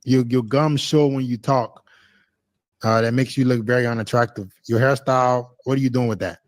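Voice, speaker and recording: A man's voice: mid-range voice, fairly even intonation, moderate pace, dry recording. A man bluntly questioning someone and giving critical advice in a casual way.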